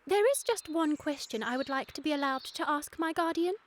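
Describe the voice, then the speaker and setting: A woman's voice: high voice, animated, fairly quick, slight background noise. A woman playing a sweet, prim Victorian girl in a radio comedy, with theatrical, precise diction. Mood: wounded, dramatic. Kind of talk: scripted radio comedy acting.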